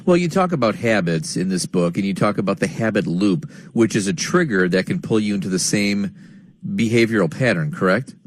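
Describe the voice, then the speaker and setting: A male radio host with a low, slightly husky voice, reading his introduction and questions in a smooth announcer manner. The sound is dry and close, with no room around it. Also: animated, a little fast, no room sound. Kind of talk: radio interview.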